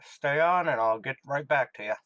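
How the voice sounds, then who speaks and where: A man's voice: medium pitch, expressive, normal speed, bright, forceful tone, dry recording, dull audio. A man playing a businesslike starship radio operator in a radio drama, clipped and procedural, over a ship radio.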